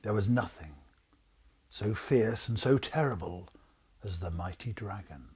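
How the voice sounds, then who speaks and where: Husky man reading a storybook, slow and dramatic. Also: fairly low pitch, expressive, darkish tone. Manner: theatrical, suspenseful, drops to whispers. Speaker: author reading own book.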